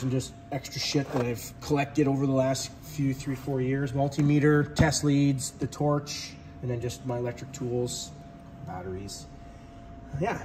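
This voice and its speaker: Laid-back young mechanic. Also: male voice, on the high side, a little hiss or hum. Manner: laid-back, rambling, swears casually. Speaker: heavy diesel mechanic. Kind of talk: casual tool box tour. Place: large shop garage.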